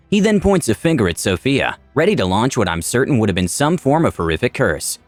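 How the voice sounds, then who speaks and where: Casting: man, mid-pitched, highly animated dramatic story narrator, dry studio sound. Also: no echo. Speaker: channel narrator. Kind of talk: dramatic scripted story narration in first person.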